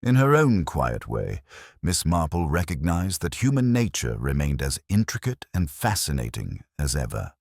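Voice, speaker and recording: A man narrating a literary mystery story in a measured, formal storytelling manner. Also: very deep voice, wildly swooping pitch, a little mellow, no room sound, studio quality. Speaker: audiobook narrator.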